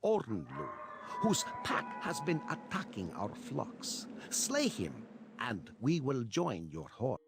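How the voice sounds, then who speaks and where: A man's voice: medium pitch, wildly swooping pitch, slow pace, heavy background noise, exaggerated voice. An actor playing a proud, demanding Mongol tribesman in a strategy game, with a theatrical, declamatory delivery. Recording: music behind the voice.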